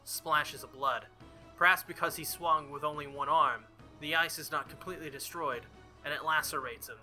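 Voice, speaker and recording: A man's voice: a little high, fairly even intonation, normal speed, bright, forceful tone, faint music behind. A man doing a visual novel playthrough, reading the story aloud dramatically and dropping in casual asides. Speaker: let's player.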